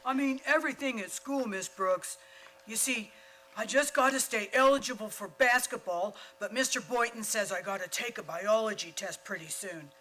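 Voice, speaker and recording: Radio comedy role: dopey, worried teen boy athlete, played by a man, high-pitched, plaintive. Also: bright, forceful tone, a little hiss or hum, rough recording. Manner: slow-witted, ungrammatical, plaintive. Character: dim, worried teenage high school athlete. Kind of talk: old-time radio comedy acting.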